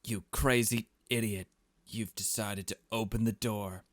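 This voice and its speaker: A man's voice: on the low side, steady tone, unhurried, no echo. A man hosting a video game playthrough with casual, rambling commentary and loose conversational asides.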